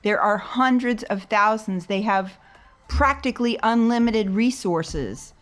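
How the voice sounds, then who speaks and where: A woman's voice: medium pitch, a little slow, fairly bright tone, natural voice. A woman voicing a bossy, insistent rebel medic in a tabletop game, commanding and urgent. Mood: urgent, persuasive.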